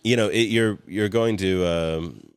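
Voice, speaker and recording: A man's voice: low voice, measured pace, smooth, no echo, studio quality. A man from California, a guest in a casual interview, rambling with lots of fillers and restarts and chuckling often. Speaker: guest, cigar company co-founder.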